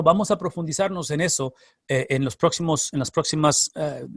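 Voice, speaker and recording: A man's voice: a little high, no room sound. Male pastor, close dry sound. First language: Spanish. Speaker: pastor and Bible teacher. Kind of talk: answering questions in a Bible class.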